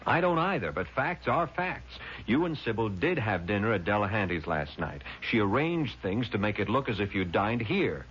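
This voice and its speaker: A man's voice: lowish voice, animated, normal speed, few pauses, a bit bright, slight background noise. An actor playing a confident, shrewd young male attorney in a 1970s radio drama, wry and insistent in manner. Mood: blunt, insistent. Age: young adult. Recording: dull vintage radio recording with music.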